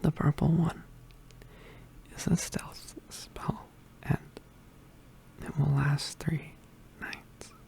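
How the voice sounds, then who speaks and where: A performer voicing an injured, poisoned young male witch in an ASMR roleplay, weak and apologetic, mostly whispering in halting, pained breaths. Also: medium pitch, level tone, dark tone, exaggerated voice. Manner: halting, pained, with sighs and throat clearing.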